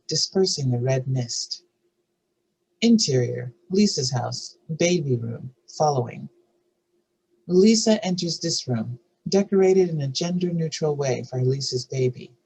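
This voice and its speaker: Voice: mid-range voice, vocal fry, darkish tone, slightly rough, poor audio. A narrator reading screenplay stage directions and scene headings aloud at a scripted table read.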